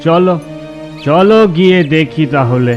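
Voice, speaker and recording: A man voicing a confident, reassuring village priest in a cartoon, speaking in an assured, unhurried way. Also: on the high side, highs cut off, over music, lightly acted. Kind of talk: cartoon voice acting.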